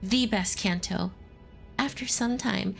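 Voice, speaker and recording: A woman's voice: mid-range voice, takes it slowly, gravelly, fairly bright tone, faint music behind. A woman streamer giving casual, rambling commentary while playing a game, often giggling. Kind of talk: casual commentary over gameplay.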